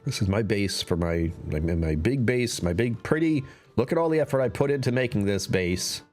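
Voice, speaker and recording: Low-voiced man streaming a game. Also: expressive, normal speed, darkish tone. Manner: chatty, self-talking, trails off into whispers. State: slightly strained voice. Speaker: live streamer. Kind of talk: casual gameplay commentary.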